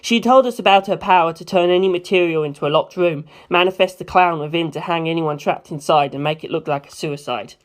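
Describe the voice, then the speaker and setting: A woman narrating a story aloud in an expressive audiobook style. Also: lowish voice, fairly quick, few pauses.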